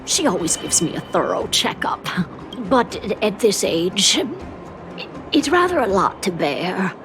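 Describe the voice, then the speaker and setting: A frail, kindly elderly woman from a video game. She is hard of hearing and speaks haltingly, very slowly, with big swoops in pitch. Music plays clearly behind her. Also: sing-song. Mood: gentle, weary. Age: older. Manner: halting, slow, exclaiming. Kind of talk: video game character acting.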